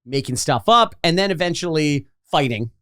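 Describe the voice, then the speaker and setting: Excitable guy podcaster, voice a bit high, really animated, joking around about movies. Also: normal speed. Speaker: podcast co-host. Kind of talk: casual chat about a film.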